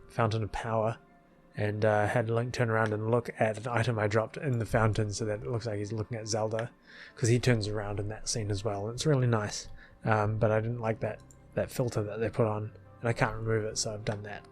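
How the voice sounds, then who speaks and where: A man's voice: fairly low pitch, little pitch movement, moderate pace, mellow, faint music behind. A man giving casual, rambling commentary as a video creator, thinking aloud in an informal, hesitant way. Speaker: video creator explaining his fan film edit.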